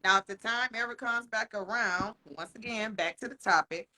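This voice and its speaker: A man co-hosting a podcast in casual chat. He talks frankly and swears a lot, laughs often, and slows down to stress his points. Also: high voice, bright, forceful tone. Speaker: podcast co-host.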